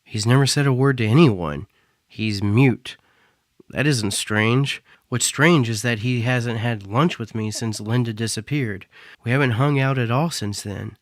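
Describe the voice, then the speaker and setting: Male actor as a bitter young cyborg performer, mid-pitched, dry studio sound. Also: measured pace, no room sound, close to an everyday voice. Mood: worried. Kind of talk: audio drama acting.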